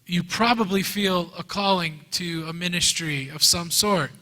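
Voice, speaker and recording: A man teaching a Bible class in English, speaking earnestly in short phrases and pausing so an interpreter can translate. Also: sits high, pushed and bright. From United States. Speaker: guest teacher. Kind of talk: lecture given through an interpreter. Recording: reverberant hall.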